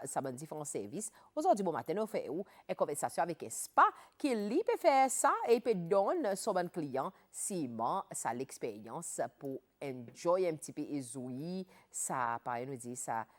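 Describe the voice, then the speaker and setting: A woman hosts a morning radio talk show. Her voice rises and falls a lot as she asks friendly questions. She slips easily between Creole and English. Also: lowish voice. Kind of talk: casual radio interview.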